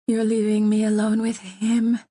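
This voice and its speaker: A frightened noblewoman pleading in trembling whispers, put on by a woman narrating an audiobook. The voice rides high and strained. The recording is dry and close. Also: normal speed, dark tone, husky, no room sound. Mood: fearful. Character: frightened, desperate noblewoman, grief-stricken and pleading. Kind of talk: audiobook reading.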